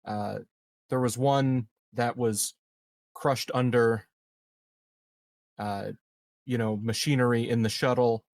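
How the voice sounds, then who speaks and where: Unhurried man thinking aloud. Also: medium pitch, steady tone, a little mellow, dry recording, studio quality. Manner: halting, thinks aloud in fragments. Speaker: player in a tabletop roleplaying game. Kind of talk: casual game-table planning.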